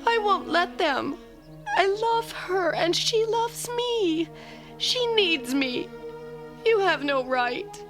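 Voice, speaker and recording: A woman's voice: very high-pitched, animated, takes it slowly, music in the background, heavily acted. An actress playing a distraught, fiercely protective foster mother in a radio drama. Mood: desperate, defiant.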